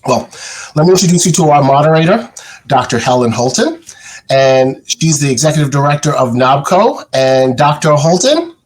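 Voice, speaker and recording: A man giving formal welcome remarks as a health center chief executive, with halting phrasing. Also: fairly high pitch, expressive.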